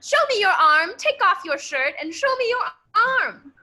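Rambunctious lady detective, played by a woman: very high, bright voice, eager and insistent. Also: big pitch swings, moderate pace, exaggerated voice. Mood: dramatic, urgent. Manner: eager, insistent, over-earnest. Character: rambunctious earnest woman amateur detective obsessed with kicking. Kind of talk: improvised radio-play parody.

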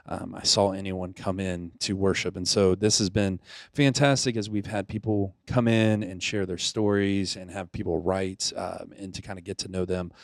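Earnest low-voiced man in his thirties. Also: fairly even intonation, normal speed, creaky, no echo, clean studio sound. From Pensacola, Florida. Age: 30s. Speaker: youth ministry director. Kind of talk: casual chat, then reading a reflection.

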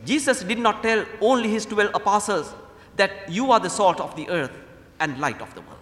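Sri lankan guy preaching like a priest, voice kinda high and swinging up and down, big echoey church sound. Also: lively intonation, normal speed, a bit bright. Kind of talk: sermon. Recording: echoey hall with long reverb.

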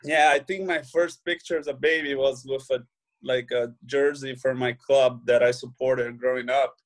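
A young Brazilian man with a Brazilian Portuguese accent, a footballer guesting on an interview, relaxed and full of "you know" fillers. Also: mid-range voice, moderate pace, low-quality recording. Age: young adult. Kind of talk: casual interview chat.

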